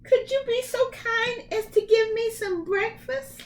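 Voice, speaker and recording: A woman reading a storybook, voicing a bright, eager young boy who exclaims and pleads politely. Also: extremely high pitch, measured pace, slight background noise, voice pushed up.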